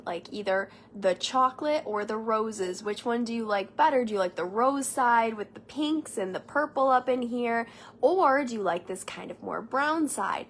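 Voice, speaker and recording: Chatty young woman, nasal from a cold, livestreaming at home, slightly high voice, light hiss behind. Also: a bit bright. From Michigan, United States. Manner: chatty, rambling, friendly asides to viewers. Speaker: beauty consultant doing a live makeup tutorial. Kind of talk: casual live-stream chat. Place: home room.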